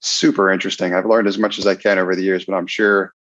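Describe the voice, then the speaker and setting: Podcast host guy, lowish voice, upbeat, muffled dry recording. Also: level tone, fast talker, a bit bright, dry recording. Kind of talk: podcast interview. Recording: dull, dry lo-fi sound.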